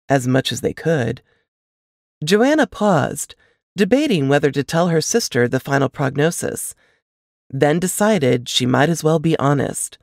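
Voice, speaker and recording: A woman reading a novel aloud as an expressive scripted narration. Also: low voice, vocal fry, no room sound, clean studio sound.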